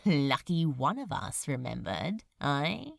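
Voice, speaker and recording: A woman's voice: sits low, very animated, takes it slowly, gravelly, no room sound, studio quality, voice pushed down, full character voice. A woman voicing a wry, casual male demon, teasing but awkwardly tender, in a dramatised audiobook.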